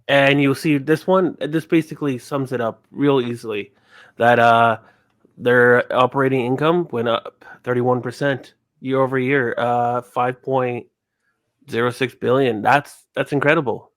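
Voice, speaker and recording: A man giving casual financial analysis as a panelist, explaining in a halting way. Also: medium pitch, fairly even intonation, no room sound.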